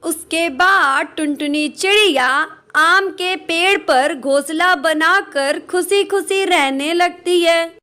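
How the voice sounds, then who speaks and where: Bright, very high woman narrator. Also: slow. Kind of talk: scripted children's story narration.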